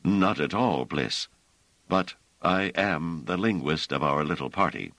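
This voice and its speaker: A man voicing an eager, scholarly male linguist who gets excited easily. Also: very deep voice, measured pace, no room sound, exaggerated voice. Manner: excitable, enthusiastic.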